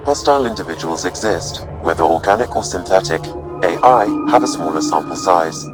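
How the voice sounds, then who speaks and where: A man voicing a calm, coolly analytical artificial intelligence aide in a video game, speaking in a measured, formal way. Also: low-pitched. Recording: loud music over the voice.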